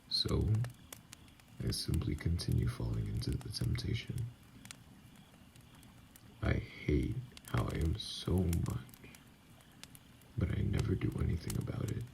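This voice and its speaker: Lonely self-loathing vampire played by a male actor, very deep and heavily creaky, halting and breathy, for an ASMR audio drama. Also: noisy background, exaggerated voice. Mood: ashamed, despairing. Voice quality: gravelly, breathy, often dropping to a whisper. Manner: slow, halting, brooding delivery. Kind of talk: ASMR roleplay monologue.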